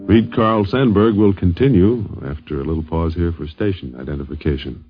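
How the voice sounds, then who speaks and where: A man's voice: low voice, a little fast, faint music behind. An American man hosting a 1950s radio documentary, narrating as a conversational storyteller. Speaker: radio host. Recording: dull 1950s radio recording.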